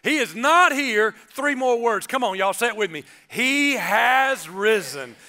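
A man, preaching an animated Easter sermon from a church stage and calling on the congregation to answer. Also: very high voice, measured pace. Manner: animated, gestures broadly, calls on the congregation. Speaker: pastor. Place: church stage, large indoor auditorium.

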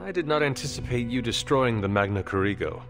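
A man's voice: medium pitch, expressive, long reverb tail, music in the background, lightly acted. A man playing an earnest, composed warrior hero in a video game, formal and polite. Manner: formal, measured, polite, without contractions. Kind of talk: dramatic video game dialogue.

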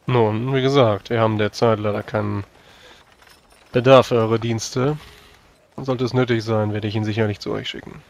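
A man's voice: lowish voice, steady tone, moderate pace, gravelly. A man playing a stern, formal fantasy adventurer with curt politeness in a tabletop role-play. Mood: politely dismissive.

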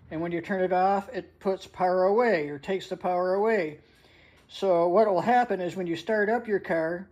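A woman's voice: fairly low pitch, a little mellow. An older woman giving a casual hands-on tutorial in a plain-spoken, practical way. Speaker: hobbyist demonstrator. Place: indoors at a wooden workbench.

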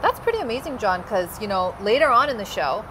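A woman's voice: medium pitch, big pitch swings, quick pace. A young woman hosting an interview, bubbly and laughing often as she chats. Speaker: host. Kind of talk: casual interview chat. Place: high-rise room with big windows. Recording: noticeable background noise.

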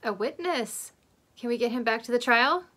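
A woman's voice: on the high side, animated, normal speed, pushed and bright, soft background music. Woman giving casual reaction commentary over soundtrack. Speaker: host reacting to a TV episode. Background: soundtrack of the episode.

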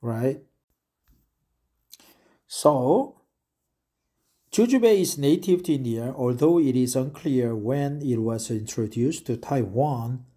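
Slow, halting man. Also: medium pitch, animated, dark tone, husky. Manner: slow, halting, repeats phrases and stumbles on words. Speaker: English learner reading aloud. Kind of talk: reading a web article aloud with asides.

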